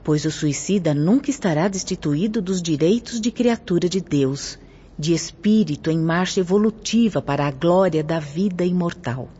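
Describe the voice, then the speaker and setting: A grave spirit teacher in a radio play, dark mellow voice, solemn and lecturing, kinda muffled. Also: female voice, on the low side, expressive, husky. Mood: grave, instructive. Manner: solemn, didactic, measured emphasis. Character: grave, instructive female spirit teacher of moral law. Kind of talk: radio drama acting, didactic declamation. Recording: muffled lo-fi audio with music behind. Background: background music.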